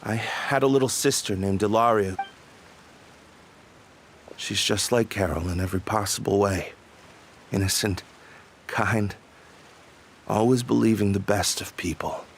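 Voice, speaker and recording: Low-voiced, stern patrol captain. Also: male voice, a little slow, pauses often, a little hiss or hum. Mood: heavy regret. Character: stern, grieving patrol captain, protective and resolute man. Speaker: voice actor in a video game. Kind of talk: dramatic game dialogue. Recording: music behind the voice.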